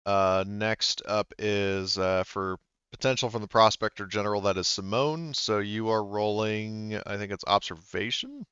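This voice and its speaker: A man's voice: on the low side, a little slow, fairly bright tone, smooth, dry recording, muffled recording. A man running a tabletop roleplaying game as game master, talking in a rambling way with many fillers and restarts.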